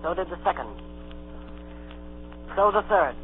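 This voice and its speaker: An earnest young lad telling an adventure as radio drama narration. The voice is high, with a tinny vintage broadcast sound and a faint hum. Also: male voice, animated, a little slow. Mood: earnest, dramatic.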